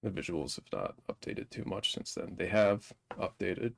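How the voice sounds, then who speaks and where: Low, gravelly, blunt male co-host. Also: expressive, moderate pace, crowd noise. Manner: blunt, profane. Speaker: co-host reviewing a film. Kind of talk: casual, unscripted movie discussion. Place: live online stream.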